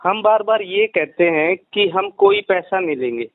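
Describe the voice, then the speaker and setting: A man's voice: sits high, expressive, unhurried, no room sound. A man being interviewed over the phone, explaining a case earnestly and repeating his key points. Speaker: rationalist society president being interviewed by phone. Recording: muffled radio-like phone call recording.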